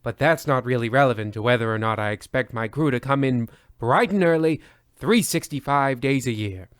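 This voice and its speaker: A man playing a pompous, deadpan horror-anthology host, narrating in a formal old-time radio style. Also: medium pitch, moderate pace, clear, resonant tone, no room sound, close to an everyday voice.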